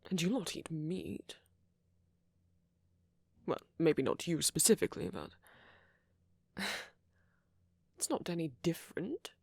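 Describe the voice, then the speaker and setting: A man acts out a friendly vampire with a gravelly, breathy voice, recorded very dry and intimate for an ASMR roleplay. He talks slowly and hesitantly, often sinking toward a whisper. His manner is flirty and apologetic, full of gentle concern and soft teasing. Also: on the high side, expressive, no echo, exaggerated voice. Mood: mildly indignant.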